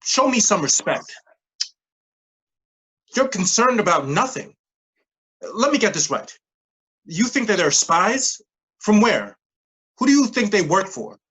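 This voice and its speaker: An actress in a staged play reading, playing a defensive, fed-up underling who talks bluntly and indignantly. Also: female voice, medium pitch, big pitch swings, a little slow, pauses often, fairly bright tone, husky, full character voice. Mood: dismissive.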